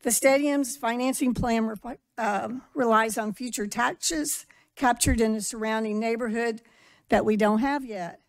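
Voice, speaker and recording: A woman giving a measured public comment at a podium microphone in a meeting hall, asking pointed questions. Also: fairly high pitch.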